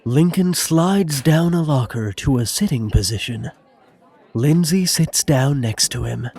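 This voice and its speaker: A man narrating a scripted comedic audio drama in a measured, wry way. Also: a little high, expressive, a little mellow, breathy edge.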